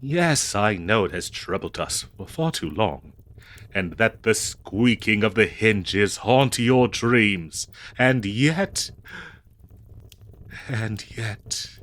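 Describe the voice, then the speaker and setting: A man playing a prim, devoted, fretful 19th-century husband in a comedic audio roleplay, speaking in florid, halting, theatrical old-fashioned phrases. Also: lowish voice, lively intonation, fairly bright tone. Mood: troubled, hesitant.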